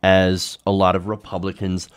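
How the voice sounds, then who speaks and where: A man's voice: low voice, monotone, moderate pace, clear, resonant tone. An American man hosting opinionated political commentary, speaking emphatically and punctuating his points with rhetorical questions.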